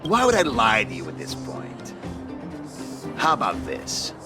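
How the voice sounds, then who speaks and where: An actor playing a greedy petty thief in a video game, theatrical, taunting and wheedling. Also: male voice, lowish voice, wildly swooping pitch, measured pace, over music. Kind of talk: animated video game voice acting.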